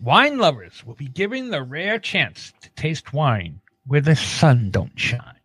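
A man's voice: a little high, sing-song, slow, fairly bright tone, slightly rough, dry recording. A man co-hosting a comedy podcast, reading odd news with sweary, teasing, casual banter.

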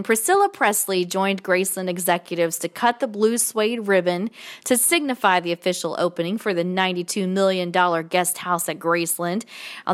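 A woman's voice: on the low side, a bit bright. A woman hosting a podcast in a chatty interviewing style. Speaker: podcast host.

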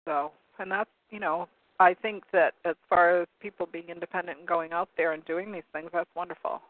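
Hoarse man on a tinny phone line. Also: high voice, fairly even intonation, a little fast, no room sound. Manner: rambling, searching for words with many ums. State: hoarse, losing his voice. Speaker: call-in talk show host. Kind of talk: casual talk radio commentary, reading from web pages. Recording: narrow phone-like line, dry.

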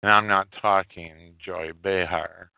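A man hosting a podcast, giving casual news commentary in a halting, hesitant way with pauses. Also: low-pitched, fairly even intonation, gravelly, smooth, no room sound. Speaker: podcast host. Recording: narrow phone-like audio, dry.

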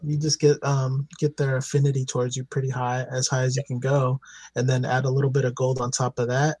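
A man's voice: mid-range voice, monotone, a little fast, darkish tone, no room sound. A man taking part in a podcast panel, talking casually about a video game.

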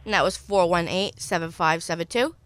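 A woman with a stuffy, congested voice hosts a local call-in radio show. Her voice sits slightly low and is recorded close and dry. She reads out items for sale and repeats phone numbers carefully. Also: fairly quick, a bit bright, dry recording. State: stuffy from a cold. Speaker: radio swap-show host. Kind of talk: call-in radio hosting, reading listings.

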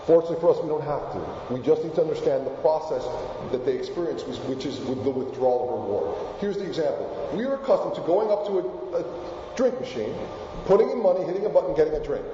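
A man from Argentina gives an animated talk in a large, echoing hall. His voice sits somewhat high but sounds mellow and warm. The recording is dull, and faint room noise sits underneath. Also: fast talker, hardly any pauses. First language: Spanish. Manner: animated, asks the audience questions. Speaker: keynote speaker. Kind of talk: lively presentation to a live audience.

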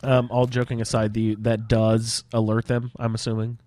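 A man with a middle-pitched voice hosts a casual gaming podcast. He sounds dry and close, moving the chat along and directing questions to his fellow panelists. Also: monotone, moderate pace, dark tone, no echo. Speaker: podcast host leading roundtable.

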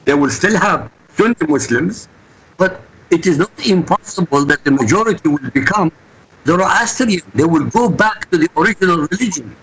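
Husky man, emphatic. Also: fairly high pitch, animated, normal speed, a bit bright, poor audio. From Iraq. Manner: emphatic, insistent. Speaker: guest commentator.

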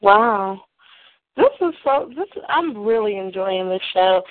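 A woman's voice: mid-range voice, animated, slow, no echo. Giggly, effusive female host, heard over a tinny, dry phone line. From Nashville, Tennessee. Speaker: radio talk show host. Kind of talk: casual chat.

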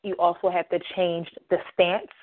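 A woman hosting a podcast who talks straight to her listeners in a loose, rambling way, full of fillers and sudden rises in pitch. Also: flat delivery, normal speed, no echo. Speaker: podcast host. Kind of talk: casual monologue to listeners. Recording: tinny dry phone-like line.

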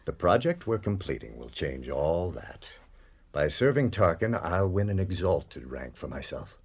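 A man's voice: deep voice, sing-song, a little slow, husky, full character voice. An actor playing an arrogant, ambitious imperial nobleman in a space-opera radio drama, speaking in a pompous, formal, theatrical way. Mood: boastful. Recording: music behind the voice.

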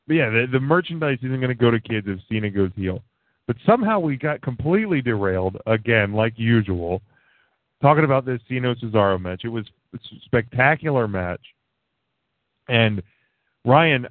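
A man's voice: mid-range voice, no echo. A thirty-year-old man rambles through a loose, joking chat on a talk radio show. His voice comes through a thin, phone-like line with no room sound, and he often restarts mid-sentence. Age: 30s. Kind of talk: casual wrestling chat. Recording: tinny phone-like sound, dry.

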